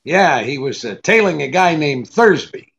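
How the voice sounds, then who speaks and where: An actor playing a wary, hard-boiled private detective, curt and sardonic, in 1940s radio drama style. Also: male voice, on the high side, very animated, measured pace, creaky, fairly bright tone, full character voice. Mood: terse, guarded.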